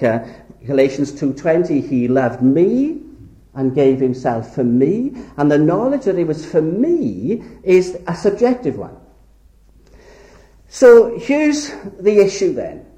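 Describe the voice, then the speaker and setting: A man preaching in an echoey hall, his voice mellow and dark yet swinging widely in pitch. He speaks earnestly, stretching out key words for emphasis. He grew up in Wales. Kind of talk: sermon lecture.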